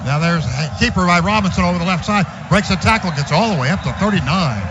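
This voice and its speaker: A man calling a football game for radio from a stadium booth. His voice sits fairly high and climbs louder with every big gain. The broadcast sounds muffled and lo-fi, with steady noise behind him. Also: quick pace. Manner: rises in pitch and volume on big plays, calm between. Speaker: radio play-by-play announcer. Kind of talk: live radio play-by-play.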